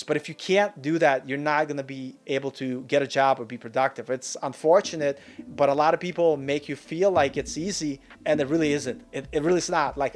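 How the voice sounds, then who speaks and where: A man in his forties hosting a live Q&A, talking frankly and earnestly in a chatty way with lots of fillers. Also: on the high side. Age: 40s. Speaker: channel host. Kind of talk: live Q&A advice chat.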